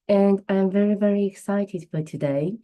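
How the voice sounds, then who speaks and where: A woman's voice: medium pitch, moderate pace, narrow, tinny audio. A woman whose first language is Chinese, hosting a live stream while she has the flu, chatting casually and greeting viewers as they join. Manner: chatty, warm greetings to viewers, restarts phrases. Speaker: livestream host.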